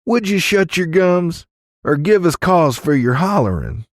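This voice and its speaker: A man's voice: fairly high pitch, very animated, measured pace, dry recording, studio quality, pitched above the performer's own voice. A man voicing a bossy, snappish saloon woman in a Western story, with folksy wording.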